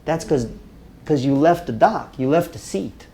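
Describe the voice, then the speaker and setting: Energetic man teaching a workshop. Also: a little high, unhurried, slight background noise. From Romania. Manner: energetic, checks in with "right?" constantly. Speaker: public speaking instructor. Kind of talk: live workshop talk to a group.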